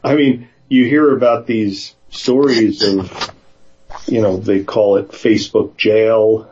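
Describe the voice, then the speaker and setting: Low, mellow man with dull sound. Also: fairly even intonation, takes it slowly, a little hiss or hum. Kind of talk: casual conversation with some read-aloud passages.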